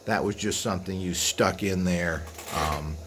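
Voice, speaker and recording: A man hosting a video with an unscripted explanatory monologue, rambling and halting as he trails into asides. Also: sits low, fairly bright tone. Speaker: video host. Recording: clearly audible background noise.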